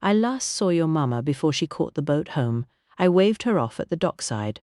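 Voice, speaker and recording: A narrator voicing a tall, glamorous American woman entertainer with a soft, smooth American accent, affectionate and motherly. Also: sits low, sing-song, moderate pace, dry recording, studio quality. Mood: confiding. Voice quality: smooth, honeyed drawl. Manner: affectionate, calls everyone honey.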